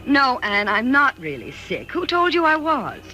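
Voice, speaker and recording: Anxious, high-voiced radio thriller wife. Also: female voice, wildly swooping pitch, measured pace, over loud music, heavily acted. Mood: anxious reassurance. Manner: polite, hesitant, pleading, emotional. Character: anxious, frightened wife doubting her husband. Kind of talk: 1940s radio thriller acting. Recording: noisy, crackly 1940s radio recording.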